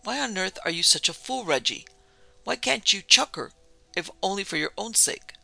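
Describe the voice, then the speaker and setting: A man's voice: a little high, moderate pace, creaky, bright, forceful tone, no room sound, faint music behind, full character voice. A man voicing a domineering older baronet father in a storybook, blustering, scornful and condescending. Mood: exasperated.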